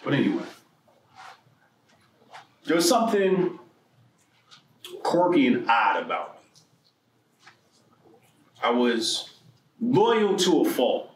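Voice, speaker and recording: A man from New Jersey tells personal stories to a room, animated and profane, his voice jumping widely in pitch. He drops to quiet asides, then bursts loud again. The sound bounces around an echoey event room with faint background ambience. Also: slow pace, pauses often, a little hiss or hum. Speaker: speaker at a political mixer. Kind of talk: personal storytelling speech.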